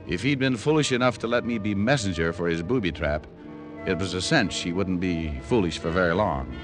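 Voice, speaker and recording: An actor playing a cool, wisecracking private detective with an American accent and wry wit, in old-time radio drama style. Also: male voice, mid-range voice, natural voice. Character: wisecracking, cool male private detective narrating his case. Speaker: lead actor and narrator.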